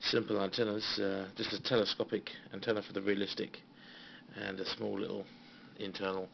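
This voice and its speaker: A British man talks in a low, creaky voice, giving an informal show-and-tell in a halting, understated way. The sound is a dull phone recording with faint noise behind him. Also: little pitch movement. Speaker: CB radio hobbyist giving a tour of equipment. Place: small radio room at home. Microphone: handheld phone.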